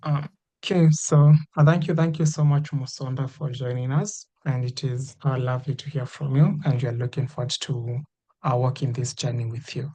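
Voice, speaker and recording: A man's voice: mid-range voice, fairly even intonation, normal speed, warm tone. A man hosting an online course meeting, inviting participants to introduce themselves with repeated encouragement and many fillers. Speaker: meeting host. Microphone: video call.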